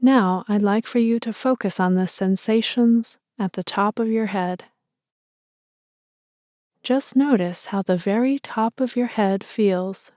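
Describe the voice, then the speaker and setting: A woman guides a meditation in a slow, unhurried voice that is mellow and soft. She sounds gentle and soothing, like a motherly guide. The sound is dry and close, with no room around it. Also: mid-range voice, dry recording.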